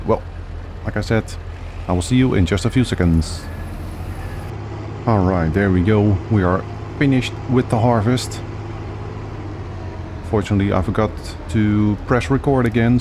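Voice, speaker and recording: A man giving relaxed, casual gameplay commentary, thinking aloud with many fillers, his voice a little worn as if he is losing it. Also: lowish voice, slight background noise. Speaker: gaming channel host.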